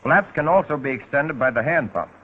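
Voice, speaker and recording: A man's voice: a little high, lively intonation, a little fast, husky. An American man narrating a 1940s instructional film in a scripted, instructional way with folksy, wry touches. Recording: muffled, phone-like 1940s film soundtrack.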